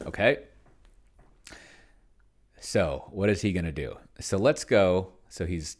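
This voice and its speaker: A man casually thinking aloud as he plays a solo tabletop game, halting and muttering to himself as he types. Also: low voice, lively intonation, a little mellow. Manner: self-described pedantic. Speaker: solo tabletop player and video host.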